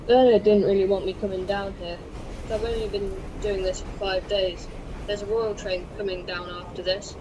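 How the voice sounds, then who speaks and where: A woman's voice: medium pitch, fairly even intonation, moderate pace, close to an everyday voice. Teenage girl as an earnest rookie, noisy recording. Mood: concerned, businesslike. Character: earnest, determined young male rookie crane driver. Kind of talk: school radio play acting. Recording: clearly noisy background ambience.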